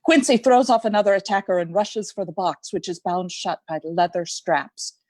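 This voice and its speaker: A woman's voice: medium pitch, moderate pace, darkish tone, dry recording, close to an everyday voice. A woman giving dramatic scripted narration for a radio play.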